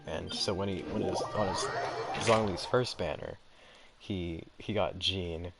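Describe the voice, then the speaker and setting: A young man giving casual gameplay commentary, rambling and halting as if talking to himself. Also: low-pitched, vocal fry. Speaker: gaming video creator. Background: loud game music.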